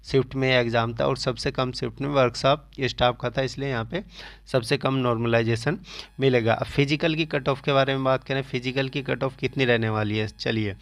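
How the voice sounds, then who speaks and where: A man speaks as a coaching channel host giving students an update. His mid-range voice stays very even and flat in pitch. He explains point by point, repeating key phrases and mixing in English terms. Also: a little fast, dry recording. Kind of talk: informational exam update addressed to students.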